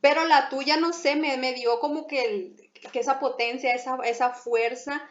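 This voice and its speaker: A young woman with a Mexican accent chatting as host, lively, laughing often and restarting her phrases. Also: sits high, moderate pace. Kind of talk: casual conversation. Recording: echoey room, dull lo-fi sound.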